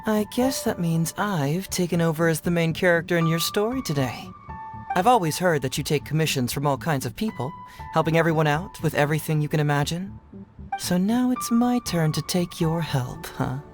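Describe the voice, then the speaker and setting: An actor voicing a playful, self-assured young detective in a video game, chatty and theatrically flattering. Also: male voice, fairly high pitch, moderate pace. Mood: confident, teasing. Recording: faint music behind the voice.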